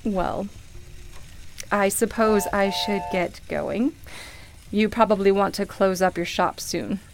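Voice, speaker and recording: A woman playing a nostalgic, wonderstruck shop customer in a radio play, with a hesitant, halting delivery. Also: mid-range voice, fairly even intonation, vocal fry, background noise. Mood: accepting.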